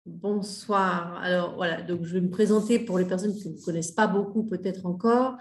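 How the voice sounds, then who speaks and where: A middle-aged woman with a somewhat low voice gives a casual talk as a grief mentor. She hesitates often and restarts her phrases. The room around her sounds echoey. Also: fairly even intonation, normal speed. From Australia. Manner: hesitant, many fillers and restarts. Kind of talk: casual spoken talk to an audience.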